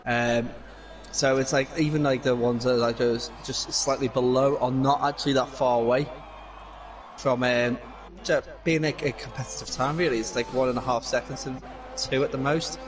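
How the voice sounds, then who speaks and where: A man with a Scouse accent co-commentating on a sim race, calmly explaining racing lines, lap times and qualifying rules. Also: medium pitch, moderate pace.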